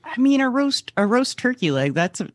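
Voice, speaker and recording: Mid-pitched, dry close-sounding podcast panelist. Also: sing-song, moderate pace, no room sound. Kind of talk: casual panel chat.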